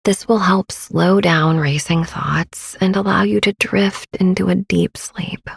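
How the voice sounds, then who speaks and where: Soft, breathy woman, soothing. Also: mid-range voice, steady tone, dry recording. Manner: soothing, gentle, unhurried delivery. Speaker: podcast host. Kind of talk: guided sleep meditation narration.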